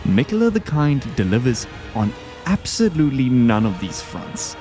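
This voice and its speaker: Lively man doing casual video commentary. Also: mid-range voice, moderate pace. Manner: informal, slangy. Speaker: video host ranking game bosses. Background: background music.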